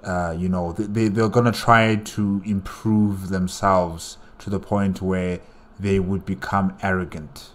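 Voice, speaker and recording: A man with a low voice hosts a casual reaction video. He speaks off the cuff in a halting way, circling back over phrases as he works out his thoughts. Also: level tone. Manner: halting, thinking aloud, repeats phrases. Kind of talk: casual unscripted reaction commentary.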